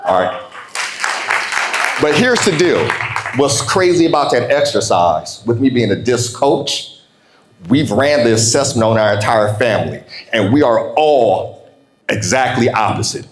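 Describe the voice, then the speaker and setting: An American man speaking informally and directly to an audience as he gives a motivational keynote talk. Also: mid-range voice. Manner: conversational, informal, addresses listeners directly. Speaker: keynote speaker, entrepreneur coach.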